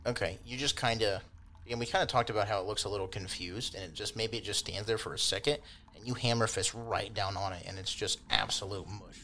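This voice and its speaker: Male game master, lowish voice, casual improvised narration, slight background noise. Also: fairly quick, bright, forceful tone. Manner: informal, loosely improvised descriptions.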